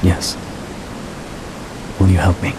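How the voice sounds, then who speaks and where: A man's voice: slow pace, close to an everyday voice. Man playing a grieving warrior, noisy ambience. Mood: sombre. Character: grieving widowed warrior on a quest, brooding and desperate. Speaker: game protagonist. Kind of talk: dramatic video game acting.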